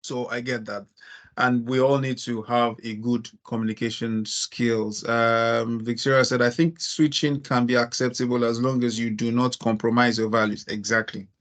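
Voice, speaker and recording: A Nigerian man with a Nigerian accent that has some American influence, hosting an online meeting and talking over a video call, laughing easily and speaking in quick bursts. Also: medium pitch, little pitch movement, a bit bright. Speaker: webinar host.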